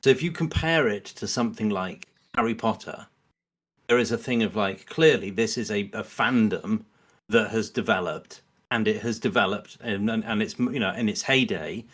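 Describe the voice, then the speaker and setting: A man's voice: on the low side, normal speed, fairly bright tone. A man speaking as a podcast guest in a casual, analytical discussion, often rephrasing himself as he thinks aloud.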